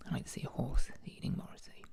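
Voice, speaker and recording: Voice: fast, mellow. A speaker, whispering an ASMR commentary with dry, jokey asides while showing paintings.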